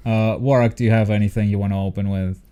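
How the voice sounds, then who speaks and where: A man's voice: on the low side, fairly even intonation, fast talker, warm tone, clear, resonant tone. A man hosting a livestream and chatting casually and informally with viewers. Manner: informal, swears freely. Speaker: livestream host.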